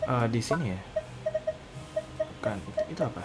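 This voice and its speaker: A man's voice: mid-range voice, expressive, quick pace, background noise. A man giving casual, slangy gameplay commentary. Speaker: gamer. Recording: gameplay commentary over clear game music. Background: game music.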